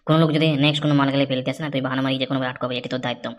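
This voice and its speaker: A man's voice: medium pitch, little pitch movement, rapid-fire, muffled recording, close to an everyday voice. A man voicing a brash, scheming, foul-mouthed young village man in a crude comedy cartoon, with a rural West Bengal accent. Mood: bossy scheming. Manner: bossy, crude, boastful.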